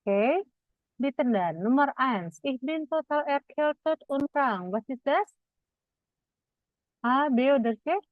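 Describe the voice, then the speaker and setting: A woman's voice: high voice, expressive, slow pace, long pauses, no room sound, faint music behind. Woman teaching German on a tinny line. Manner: switches between German and Indonesian, patient explaining. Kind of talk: online language lesson. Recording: dry, phone-like tinny line.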